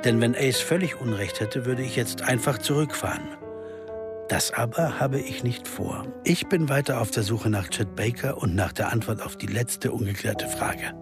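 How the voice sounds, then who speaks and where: Actor as brooding jazz pianist guy playing detective, radio drama, mid-pitched, music underneath. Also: normal speed, natural voice. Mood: reflective. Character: jazz pianist amateur sleuth, brooding, driven man. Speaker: lead actor and narrator. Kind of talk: German radio drama acting with first-person narration.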